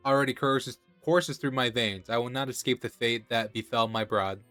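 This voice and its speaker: A man's voice: medium pitch, moderate pace, fairly bright tone, dry recording, soft background music, lightly acted. A man with a cold reading out the lines of a dying old male wyvern in his own nasal voice. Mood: grave. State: has a cold.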